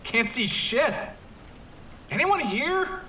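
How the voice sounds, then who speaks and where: A man's voice: extremely high pitch, very animated, very slow, sharp tone, a little hiss or hum, heavily acted, poor audio. A man playing a frightened, deferential young student in a horror audio drama, with a nervous, hesitant way of talking. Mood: puzzled.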